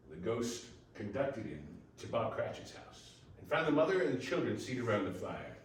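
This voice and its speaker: A man's voice: mid-range voice, very animated, moderate pace. A man giving scripted story narration for readers theater, recorded at a distance in a very echoey room. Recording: very echoey room, distant mic, faint noise.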